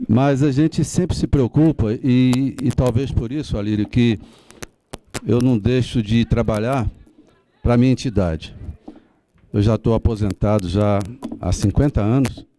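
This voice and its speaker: A man's voice: mid-range voice, warm tone, a little hiss or hum. A tired seventy-year-old Brazilian man making a rambling, sentimental, informal address to a meeting. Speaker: retired police officer and association advocate.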